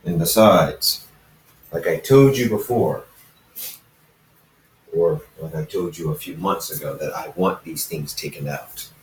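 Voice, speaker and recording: A man reading the part of a brooding, stubborn hybrid pony student in a fan fiction story, in a halting, matter-of-fact way. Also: rumbling low, slight background noise, pitched below the performer's own voice. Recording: roomy echo, distant from the mic.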